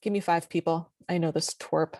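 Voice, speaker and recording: A woman's voice: low-pitched, fairly even intonation, moderate pace, a little mellow, no room sound. A woman reading the part of a sardonic, dismissive female TV network executive in a comedy table read. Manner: dry, dismissive, caustic.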